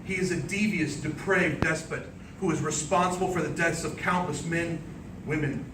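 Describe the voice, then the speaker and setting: Male contest orator, slightly high-pitched, theatrical epic storytelling with deliberate declamation; recorded far from the mic amid clear noise. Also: fairly even intonation. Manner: dramatic, declamatory, deliberate phrasing. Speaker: speech contest speaker. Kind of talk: theatrical storytelling speech, in the manner of an epic tale. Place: indoor room before an audience. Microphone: distant microphone. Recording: roomy, distant microphone, clearly noisy background.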